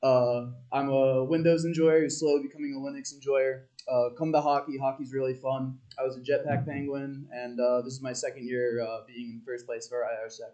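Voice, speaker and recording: A man's voice: mid-range voice, flat delivery, brisk, hardly any pauses, mellow, low-quality recording. A young man from New Jersey giving a casual, wry self-introduction. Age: young adult. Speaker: student presenter.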